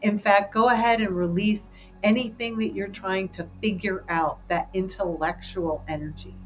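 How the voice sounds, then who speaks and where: A woman's voice: mid-range voice, fairly even intonation, normal speed, a little hiss or hum. A woman leading a group through a guided meditation in an informal, encouraging, improvised way. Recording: clear background music under the voice. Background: music.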